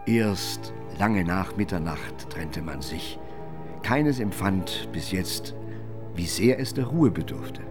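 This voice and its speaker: Low-voiced literary storyteller over music. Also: male voice, animated, takes it slowly. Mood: reflective. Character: reflective male storyteller of a period tale. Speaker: narrator. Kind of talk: literary radio drama narration. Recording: music under the voice.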